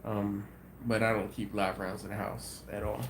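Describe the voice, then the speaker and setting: Man, livestream host, congested, sniffly, relaxed rambling chat, faint hiss. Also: on the low side, normal speed. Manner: easygoing, thinks aloud with many ums and restarts. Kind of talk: casual live chat reading viewer comments.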